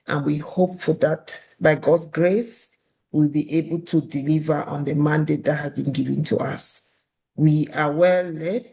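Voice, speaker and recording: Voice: mid-range voice, vocal fry, a little mellow. An advisory board chair from Cameroon gives acceptance remarks, speaking with many restarts.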